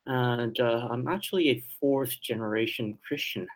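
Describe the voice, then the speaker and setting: A man from Taiwan with a slight Taiwanese Mandarin accent, answering interview questions hesitantly with many pauses and restarts. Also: medium pitch. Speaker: guest theologian.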